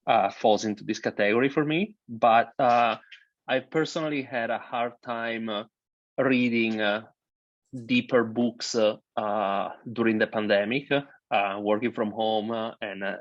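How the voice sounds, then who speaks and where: Italian man, casual call intro, halting delivery; dead-dry, dull lo-fi sound. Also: mid-range voice, no room sound. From Italy. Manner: hesitant, many uh fillers. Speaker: book club member introducing himself. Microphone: video call.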